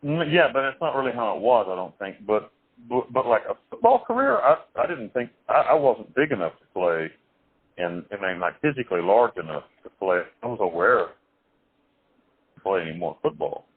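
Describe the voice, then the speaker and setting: A man being interviewed over the phone, telling his life story in a rambling, halting way with many restarts and some blunt, crude wording. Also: lowish voice, big pitch swings, husky. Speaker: interview guest. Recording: tinny phone line.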